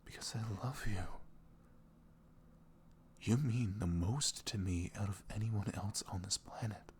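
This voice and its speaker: A man playing a sweet, caring boyfriend in an intimate ASMR roleplay, speaking softly and tenderly in a velvety, often breathy voice with earnest, rambling reassurance. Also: fairly low pitch, unhurried, a little hiss or hum, natural voice. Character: sweet, caring, devoted young boyfriend talking in bed.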